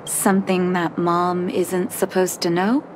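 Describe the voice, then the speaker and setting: A woman's voice: lowish voice, level tone, unhurried, slight background noise, natural voice. Woman acting a composed, caring doctor. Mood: surprised, questioning. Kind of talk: scripted video game voice acting. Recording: studio voice over game music.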